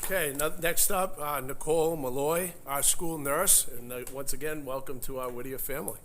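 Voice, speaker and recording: Halting man introducing staff, noisy. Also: fairly high pitch, steady tone. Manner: halting, many uh fillers. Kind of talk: introducing new staff at a meeting.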